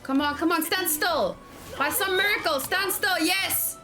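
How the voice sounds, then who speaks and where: A woman giving casual, excitable let's play commentary, talking to herself and repeating words in quick bursts. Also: extremely high pitch, over music. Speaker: gaming let's play host.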